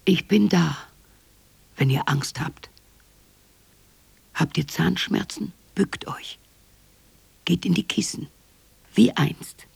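An extremely creaky, breathy half-whisper from a wistful, lonely mother figure in a radio drama. The phrases are short, slow and incantatory, intimate as if soothing children. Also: lowish voice, a little mellow, no echo, exaggerated voice.

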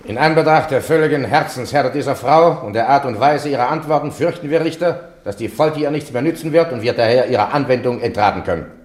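An actor playing a cold church judge at an inquisition, speaking formally and officiously. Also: male voice, medium pitch, fast talker, few pauses, natural voice. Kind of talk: radio play acting.

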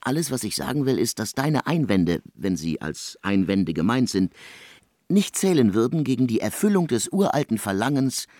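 An intense intellectual composer in a literary radio drama. The husky voice swings widely in pitch, emphatic and coolly ironic. The recording is close and dry. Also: male voice, sing-song, darkish tone, dry recording. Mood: reflective, assured. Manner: deliberate, emphatic, lecturing.

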